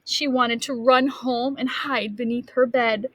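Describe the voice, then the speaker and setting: Unhurried woman reading a storybook to children. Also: fairly high pitch, dry recording. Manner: expressive, dramatic gasps and emphatic drawn-out words. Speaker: kindergarten teacher reading aloud. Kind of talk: children's storybook read-aloud with questions to listeners.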